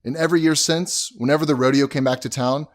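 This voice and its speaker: A man's voice: mid-range voice, steady tone, quick pace, lightly acted. A man playing an easygoing, cheeky young husband, telling things informally in an audio drama. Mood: amused storytelling. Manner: informal, chatty storytelling.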